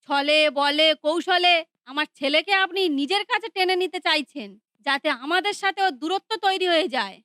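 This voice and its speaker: A woman's voice: bright, forceful tone, dry recording, studio quality. A woman voicing a harsh, scolding mother and daughter-in-law in a cartoon. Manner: shrill, scolding.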